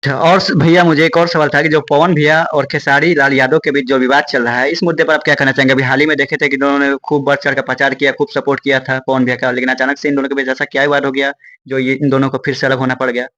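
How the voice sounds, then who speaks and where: A man's voice: mid-range voice, little pitch movement, fast talker, fairly bright tone, lo-fi sound. A man asking questions in an informal interview, deferential toward his guest.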